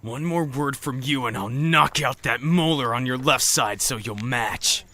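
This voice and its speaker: Actor playing sarcastic male survivor, short-tempered, sharp forceful tone, sneering, audio drama. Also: medium pitch, a little slow. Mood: hostile. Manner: biting, sneering. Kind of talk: scripted audio drama acting.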